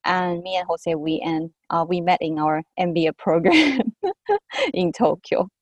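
Woman in her 30s from Taiwan, low voice, dry sound, chatty guest. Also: lively intonation, unhurried, no echo. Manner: chatty, uses "like" often. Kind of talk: casual interview chat.

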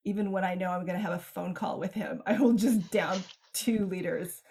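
A woman's voice: fairly low pitch, steady tone, moderate pace, gravelly, darkish tone, a little hiss or hum. A woman hosting a podcast, chatting casually as she introduces and interviews an author.

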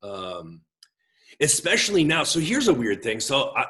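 Middle-aged man, a pastor, chatty interview guest, big expressive gregarious voice. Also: medium pitch, measured pace. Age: 40s. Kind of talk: casual conversational interview.

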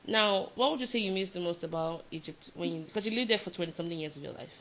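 A woman hosts a relaxed interview, firing off questions at a brisk clip. She teases her guest playfully and reacts with quick exclamations. The sound is a little dull and lo-fi. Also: on the low side, slight background noise. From Nigeria. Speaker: podcast host. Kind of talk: casual interview.

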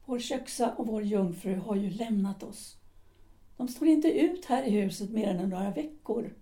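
A woman's voice: medium pitch, mellow, lightly acted. A woman playing a bitter, weary wife. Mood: despairing. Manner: bitter, despairing. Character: weary embittered middle-aged wife, former actress. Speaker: actor. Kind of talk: audio drama acting.

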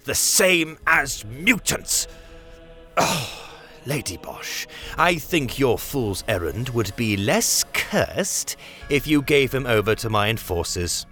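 A scornful, greedy lord in a sci-fi audio drama. He drawls extremely slowly, his pitch leaping up and down theatrically as he sneers over faint music. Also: sing-song, a bit bright. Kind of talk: science fiction audio drama acting.

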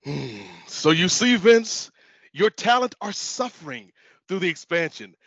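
A man playing a moralizing Santa Claus who lectures haltingly in a comedic audio play. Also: high voice, sing-song, fairly bright tone, no echo, heavily acted. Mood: lecturing. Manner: preachy, admonishing, halting. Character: moralizing Santa Claus showing a greedy boss his wrongs. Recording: dull lo-fi audio.

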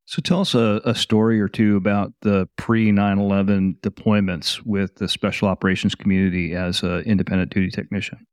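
A man with a low, smooth and resonant voice co-hosts an interview podcast. He asks his questions in a flat, plain delivery with little rise and fall. The sound is dry and close. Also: brisk, hardly any pauses, dry recording. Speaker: podcast co-host.

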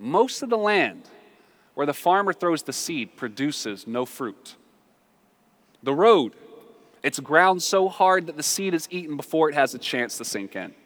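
An American man preaches in a lively, storytelling style, his pitch swinging widely. His voice is bright and forceful, and he repeats phrases for emphasis with conversational humor. It rings with the long reverb of a big hall. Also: a little slow. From southern United States. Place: large reverberant room, live before a congregation.

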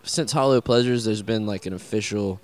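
A man with a Southern US accent, a musician answering interview questions in a loose, halting way, full of fillers and restarts. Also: lowish voice. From American South. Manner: rambling, halting, many fillers and restarts, swears casually.

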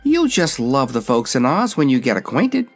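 A man's voice: mid-range voice, wildly swooping pitch, normal speed, soft background music, pitched above the performer's own voice. A man voicing a cheerful, confident young girl in a fairy-tale story. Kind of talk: storybook character voice.

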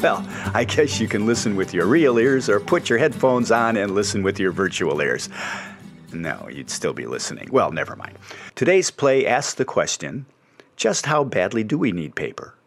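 Low-pitched man hosting with wry humour. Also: very animated, normal speed, soft background music. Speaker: host. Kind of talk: jokey scripted radio introduction.

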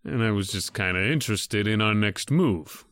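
A man's voice: on the low side, moderate pace, fairly bright tone, dry recording, studio quality, lightly acted. An actor playing a sullen, trigger-happy American gunman in an old-time radio crime drama, speaking in terse, tough slang. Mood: sullen, impatient. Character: trigger-happy, sullen male gunman, robbery gang member.

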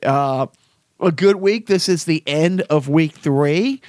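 A man's voice: on the high side, slow, a little mellow, no room sound, studio quality. A man co-hosting a comedy podcast, joking and rambling in casual chat. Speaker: podcast co-host.

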